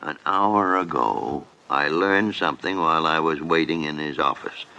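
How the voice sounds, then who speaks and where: Creaky, cantankerous senior doctor. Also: male voice, a bass voice, moderate pace, bright, forceful tone, heavily acted, low-quality recording. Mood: aggrieved, dramatic. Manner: cantankerous, blustering. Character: crusty, irascible senior doctor, gruff and dramatic. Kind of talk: 1950s radio drama acting. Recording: old 1950s radio broadcast.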